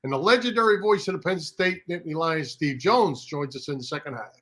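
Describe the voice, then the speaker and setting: Man, fairly high voice, sports show co-host, casual talk. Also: animated, brisk, fairly bright tone.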